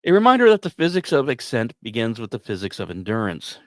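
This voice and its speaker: A man narrates like a podcast storyteller, his mid-pitched voice swinging widely up and down. He leans on key words and leaves dramatic pauses, mixing scripted lines with casual asides. The sound is close and very dry, with no room around it. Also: wildly swooping pitch, fairly bright tone, no room sound. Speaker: podcast host.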